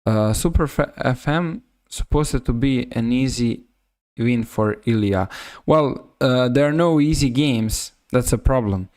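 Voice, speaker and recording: A man with a Serbian accent giving live chess commentary on a stream, halting and often rephrasing himself. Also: medium pitch, a little mellow, no echo, studio quality.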